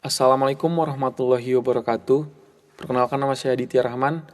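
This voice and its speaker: A man's voice: mid-range voice, fairly even intonation, fast. A man from West Sumatra formally introducing himself as a singing audition contestant. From West Sumatra, Indonesia.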